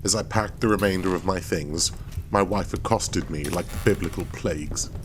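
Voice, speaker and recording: An actor playing a proud, bitter, obsessed expedition leader and husband, delivered as grandiose, theatrical first-person audio drama narration. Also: male voice, low-pitched, normal speed, background noise, natural voice. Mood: brooding, haunted.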